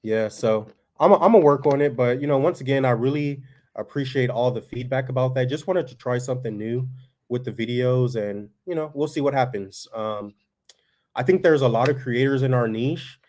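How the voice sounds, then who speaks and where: A man with a monotone voice chatting casually to viewers as host of a family farm vlog, laid-back and folksy. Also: mid-range voice, moderate pace.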